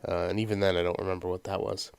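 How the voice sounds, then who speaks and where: Game host, male, nasal from a sinus cold, chatty and meandering, smooth lower voice. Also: little pitch movement, rapid-fire, no echo, clean studio sound. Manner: rambling, many ums and uhs. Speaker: let's play host. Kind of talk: casual gameplay commentary.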